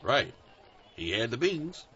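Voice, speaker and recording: A man's voice: mid-range voice, big pitch swings, slow, bright, forceful tone, full character voice. A man voicing a blind caretaker who talks in a folksy, self-deprecating way. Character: blind male caretaker, humble and joking. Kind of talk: audio drama acting. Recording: muffled lo-fi recording.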